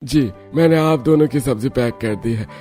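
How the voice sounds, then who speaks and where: A woman's voice: a bass voice, moderate pace, mellow, faint music behind, voice pushed down. A woman voicing a polite, businesslike male vegetable seller in a cartoon story. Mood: obliging. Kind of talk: Hindi cartoon story dialogue.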